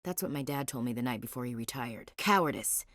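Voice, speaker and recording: A woman's voice: extremely low pitch, animated, a little fast, fairly bright tone, no room sound, clean studio sound. An actress voicing a frank, wry young woman soldier in a video game, plain-spoken and devout. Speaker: marine gunnery chief. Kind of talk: video game character dialogue.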